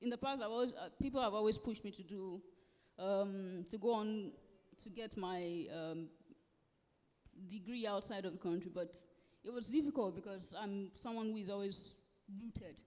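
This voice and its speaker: A woman's voice: medium pitch, moderate pace, darkish tone. A woman with a Nigerian accent, around forty, speaking emphatically as a guest author in a live conversational interview, often repeating phrases for stress. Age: late thirties to forties. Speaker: guest author at a book festival. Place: live festival event hall. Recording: echoey hall with long reverb.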